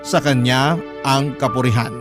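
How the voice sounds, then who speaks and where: A man's voice: mid-range voice, steady tone, normal speed. A man with a Filipino accent reading a scripted first-person letter as a radio drama host and narrator. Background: music bed.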